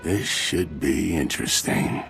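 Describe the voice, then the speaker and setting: An actor playing a ruthless pirate thug in a video game, gravelly and mocking, amused by killing. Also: male voice, extremely low pitch, a little slow, fairly bright tone, exaggerated voice. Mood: menacing amusement. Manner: menacing, drawling, mocking. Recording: music behind the voice.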